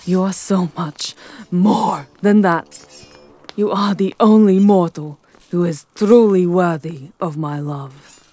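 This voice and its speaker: A woman voicing a seductive, possessive, aristocratic vampire countess in a theatrical, deliberate ASMR roleplay, with breathy whispers. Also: fairly low pitch, darkish tone.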